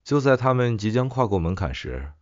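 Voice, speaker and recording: A man's voice: mid-range voice, big pitch swings, fairly quick, no echo, lo-fi sound. A man narrating a scripted ghost story as an audiobook.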